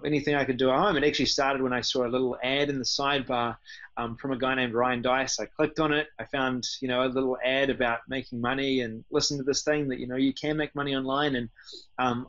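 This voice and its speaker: A man's voice: medium pitch, fairly bright tone. A man with a New Zealand accent telling his own story casually as an interview guest, in a hesitant, conversational way. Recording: dull lo-fi call audio.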